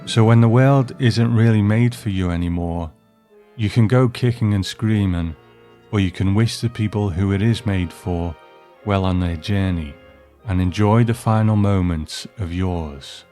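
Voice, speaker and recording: A man's voice: lowish voice, a little slow, warm tone. A man hosting and narrating from a script, reviewing and reading a story aloud. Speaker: host and narrator. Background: music.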